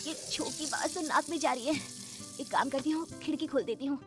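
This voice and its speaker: A woman's voice: sits high, fairly quick, music in the background, pitched above the performer's own voice, lightly acted. A woman voicing a timid, easily frightened young bride who frets and exclaims to herself in a horror story. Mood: curious. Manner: exclaiming, fretful self-talk.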